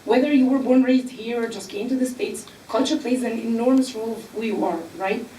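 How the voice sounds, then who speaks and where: A woman's voice: on the high side, warm tone, a little hiss or hum. Egyptian-born woman, echoey distant panel mic. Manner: earnest, explanatory, with filler pauses. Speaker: panel speaker. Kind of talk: panel discussion. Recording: echoey room, mic from afar.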